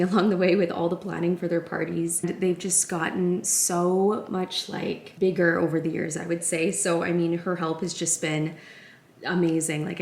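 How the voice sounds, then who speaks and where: A woman in her thirties, slightly raspy and half awake, chatting in a casual home vlog, upbeat and full of "like" fillers. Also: fairly low pitch. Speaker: lifestyle vlogger.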